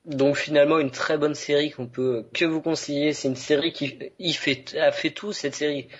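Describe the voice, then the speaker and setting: An enthusiastic man hosts a casual podcast in a voice a touch above average pitch. He rambles eagerly with plenty of fillers and restarts. The sound is dull and lo-fi. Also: steady tone, normal speed. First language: French. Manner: enthusiastic, chatty, many fillers. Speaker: podcast host.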